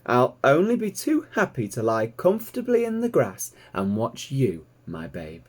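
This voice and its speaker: A man voicing a motherly, ageing female sheepdog in a storybook, brisk, fond and matter-of-fact. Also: mid-range voice, huge pitch swings, darkish tone. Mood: fond, emphatic. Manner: reassuring, matter-of-fact, emphatic.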